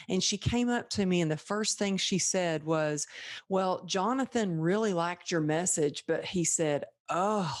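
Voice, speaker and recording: A woman from Texas hosting a podcast, telling stories in a lively way and acting out the lines she quotes. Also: fairly low pitch. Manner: animated storyteller, acts out quoted dialogue. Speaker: podcast host. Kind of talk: casual interview chat.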